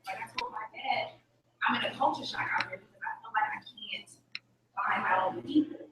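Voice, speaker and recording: A woman from the audience sharing her experience in a hesitant, soft way. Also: a little high, normal speed. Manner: hesitant, soft, partly whispered. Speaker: audience member. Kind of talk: casual personal sharing. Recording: distant, echoey.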